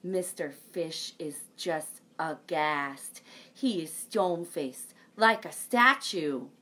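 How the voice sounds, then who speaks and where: A woman in her thirties, a children's librarian, reading a picture book and leading a sing-along for young children in a lively storytime manner. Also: deep voice, slow pace. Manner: bright, animated storytime voice. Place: home room with a bookshelf backdrop.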